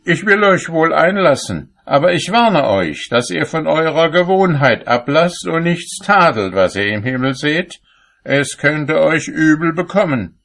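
A man's voice: medium pitch, sing-song, slow, gravelly, few pauses, fairly bright tone, voice pushed up, exaggerated voice. A man voicing a stern apostle who guards heaven's gate in a storybook, cautioning with authority. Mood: admonishing. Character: stern male apostle gatekeeper of heaven, admonishing.

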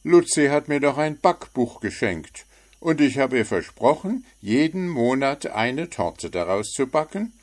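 A man's voice: mid-range voice, sing-song, measured pace, pitched above the performer's own voice. A man voicing a grumpy, easily offended little gnome who snaps and grumbles, in a storybook reading. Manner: gruff, irritable.

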